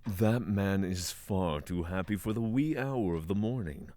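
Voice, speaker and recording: A man's voice: deep voice, a little slow, warm tone. A man playing a courteous, skeptical spiritual traveller in a fantasy audio drama, with formal, dryly inquisitive phrasing. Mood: questioning.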